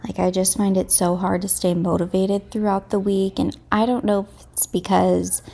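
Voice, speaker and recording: Woman, 30s, home vlogger. Casual, open chat to camera. Slightly stuffed up, with a rough, creaky edge to the voice. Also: medium pitch, flat delivery, normal speed, warm tone. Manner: candid and chatty, thinking aloud.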